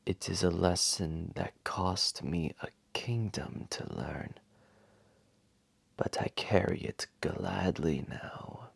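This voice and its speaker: A man voicing an ancient, massive dragon, wise, gentle and remorseful, telling a solemn story to one listener in a gravelly, breathy half-whisper. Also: low-pitched, no echo, full character voice. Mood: humbled, resolved. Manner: solemn, deliberate, tender. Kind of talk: slow dramatic storytelling to a single listener.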